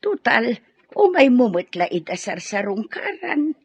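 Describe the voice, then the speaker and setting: A woman playing a fretful, doting old grandmother in a radio drama, with a gravelly voice. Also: a little high, sing-song, measured pace, dry recording, exaggerated voice. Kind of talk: Ilocano radio drama acting. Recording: dull lo-fi audio.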